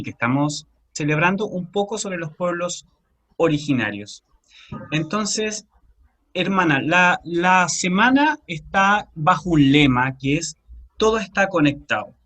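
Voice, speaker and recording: A man's voice: fairly high pitch, bright, forceful tone. A man hosting an interview, asking a guest questions in a polite, formal manner. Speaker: interviewer, host.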